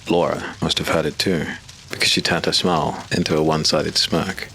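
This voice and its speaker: A man giving measured, ominous scripted narration for a dark fantasy audiobook. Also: a bass voice, flat delivery. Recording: clear background hiss.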